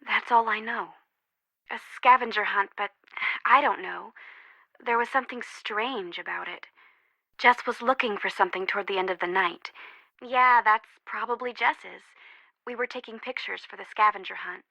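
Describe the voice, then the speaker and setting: A guarded, anxious young woman from a mystery video game. Her voice is bright and forward, sitting at an average female pitch. The recording is very dry and close. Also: normal speed, creaky, no room sound, low-quality recording. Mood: wary, uneasy. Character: worried, guarded young woman, friend of missing girl.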